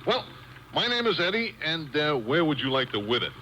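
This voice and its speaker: An actor playing a talkative, streetwise cab driver in a 1950s radio drama, mixing fancy words with slang. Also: male voice, on the high side, big pitch swings, moderate pace, pushed and bright. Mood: genial, nosy. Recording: dull, hissy old radio tape.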